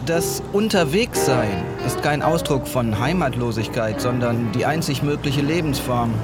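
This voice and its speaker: A man's voice: mid-range voice, expressive, a little slow, close to an everyday voice. An actor playing a wandering male Beat writer, declaiming his recollections in a scripted radio drama. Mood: declamatory, nostalgic. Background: loud music with guitar.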